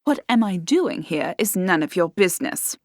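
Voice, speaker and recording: A woman voicing a proud young pirate captain who speaks in a crisp, formal, indignant way. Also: on the low side, sing-song, moderate pace, no echo, studio quality. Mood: haughty.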